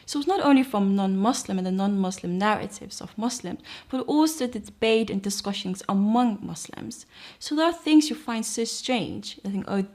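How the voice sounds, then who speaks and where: A woman's voice: mid-range voice, animated, moderate pace, a little mellow. A young woman from Denmark with a Danish accent, reflectively telling her personal conversion testimony. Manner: reflective, earnest. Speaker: convert sharing her story.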